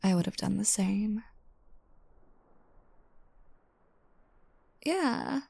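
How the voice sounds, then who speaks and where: A mellow, slightly gravelly young girlfriend, acted by a woman in an intimate audio roleplay, speaking slowly and softly right up close. She is playful and teasing, with a drowsy just-woke-up manner and a dry, roomless sound. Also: medium pitch, animated, dry recording, full character voice. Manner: soft, breathy asides, teasing, unhurried.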